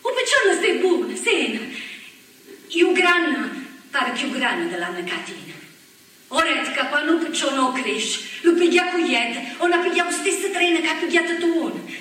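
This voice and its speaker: Chattering young emigrant's wife. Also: female voice, sits high, big pitch swings, fairly bright tone. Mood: tender, anxious. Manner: rushed, tender, colloquial chatter. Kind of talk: stage monologue for radio. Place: theatre stage. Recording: echoey stage from far off.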